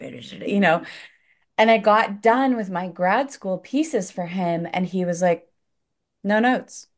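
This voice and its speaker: A woman who is an actor, chatting casually and telling stories, acting out what people said. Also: fairly low pitch. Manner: animated storyteller, acts out quotes. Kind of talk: casual chat.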